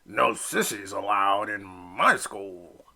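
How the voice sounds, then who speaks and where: A man voicing a big, mean bully duckling in a storybook, with a low, taunting sneer. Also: lively intonation, slow, pushed and bright, heavily acted.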